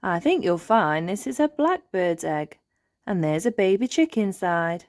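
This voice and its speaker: A woman's voice: mid-range voice, animated, unhurried, darkish tone, no room sound, studio quality. A woman voicing a wise old male elf in a children's storybook, knowing and emphatic. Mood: hearty. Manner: emphatic, declaiming.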